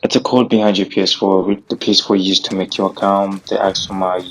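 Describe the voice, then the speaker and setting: A man's voice: low voice, flat delivery, fast, slight background noise, rough recording. A young man casually telling a story, rambling and filling it with "bro" and "like". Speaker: gaming vlogger.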